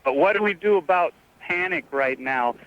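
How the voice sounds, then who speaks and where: A man calling in to a radio show, speaking earnestly and pausing hesitantly mid-sentence over the phone. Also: high voice, expressive. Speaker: phone-in caller. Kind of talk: unscripted radio call-in. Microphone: telephone. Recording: dull phone call on radio.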